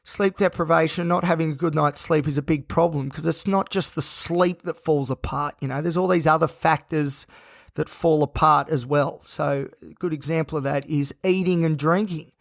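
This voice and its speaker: A man hosting a solo podcast, talking in a rambling, tired, self-reflective way with a croaky, hoarse voice. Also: a little high, steady tone, moderate pace, dry recording, studio quality. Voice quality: gravelly. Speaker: podcast host.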